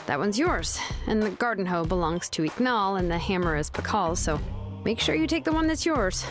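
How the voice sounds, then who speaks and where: A woman's voice: mid-range voice, lively intonation, moderate pace, close to an everyday voice. An actress playing an easygoing adult craftswoman in a video game, talking in a laid-back, matter-of-fact way. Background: game music.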